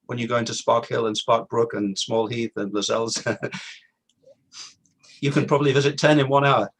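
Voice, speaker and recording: A middle-aged man with an average-pitched voice speaks at an online gathering. He is reflective and earnest, and he circles back to his points to underline them. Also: fairly bright tone, rough recording. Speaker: guest speaker. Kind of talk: informal talk at a virtual community event.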